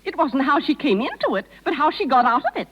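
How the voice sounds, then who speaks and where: An actress playing a gushing, tactless small-town wife with a fluttery, effusive manner in a 1930s radio drama. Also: female voice, sits high, big pitch swings, fast. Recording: muffled, lo-fi 1930s radio recording.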